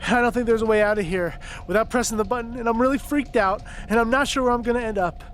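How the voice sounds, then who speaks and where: A man's voice: very high-pitched, quick pace, fairly bright tone, a little hiss or hum. A man playing an anxious, sarcastic teenage boy in an audio drama.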